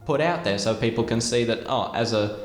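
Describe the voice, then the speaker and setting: A man's voice: medium pitch, a little fast. A young Australian man hosting an interview, chatting casually. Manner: casual, conversational, frequent "like" fillers. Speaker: host. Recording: echoey room with faint music.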